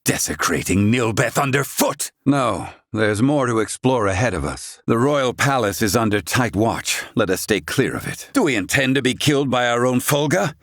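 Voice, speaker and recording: An actor playing a proud, curt, bookish scholar-healer in a fantasy video game, speaking in formal, archaic, exacting phrases. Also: male voice, medium pitch, expressive, moderate pace, hardly any pauses, no room sound, clean studio sound, close to an everyday voice. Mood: brisk, stern. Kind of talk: video game character dialogue.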